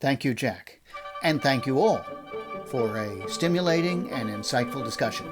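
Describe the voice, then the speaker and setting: A woman's voice: very deep voice, lively intonation, normal speed. A woman hosting, giving a courteous, formal sign-off to a discussion. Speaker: host. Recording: music under the voice.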